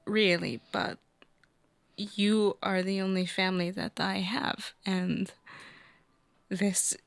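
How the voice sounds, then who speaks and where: A woman playing a withdrawn, guilt-ridden woman mercenary in an improvised tabletop game, speaking haltingly. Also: medium pitch, fairly even intonation, creaky, no echo. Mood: vulnerable. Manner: halting, subdued.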